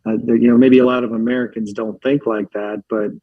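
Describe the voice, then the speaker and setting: Guest from Philadelphia. Man with a husky, dry voice who rambles through casual stories. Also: medium pitch, level tone, fairly quick, no echo, phone-quality sound. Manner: rambling, filler-heavy, uses lots of likes. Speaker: podcast guest. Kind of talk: casual storytelling chat.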